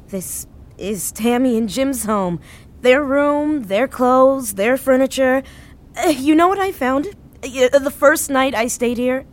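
A woman playing a grieving, hesitant, sentimental woman in her thirties in naturalistic audio drama dialogue. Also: on the high side. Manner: hesitant, subdued.

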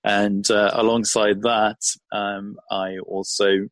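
Swedish-born man, low voice, dry sound. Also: level tone, slow pace, no room sound. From Sweden. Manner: friendly, thoughtful, with frequent ums and restarts. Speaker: guest, employer brand consultant. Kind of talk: conversational interview answers.

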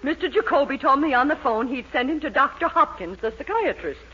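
A sharp, high-voiced bossy matron. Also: female voice, animated, fairly quick. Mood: assured. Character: indignant middle-aged matron, bossy wife and mother. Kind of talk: 1940s radio comedy acting. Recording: dull old radio recording.

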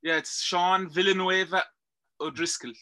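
Irish-accented man, guest climber, stop-start delivery, slightly high, bright tone. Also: fairly even intonation. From Belgium. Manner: halting, repeats words, many "yeah"s. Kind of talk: casual interview chat.

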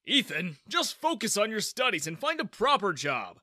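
A man plays a stern, worried father in a narrated story. He scolds in a high, forceful voice with lively pitch swings. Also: moderate pace, no echo, studio quality, heavily acted. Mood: stern, exasperated.

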